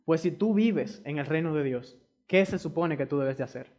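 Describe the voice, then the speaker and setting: Emphatic high-voiced preacher. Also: male voice, moderate pace, a little mellow, lo-fi sound. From Dominican Republic. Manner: emphatic, repeats key phrases; addresses the congregation directly. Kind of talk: sermon.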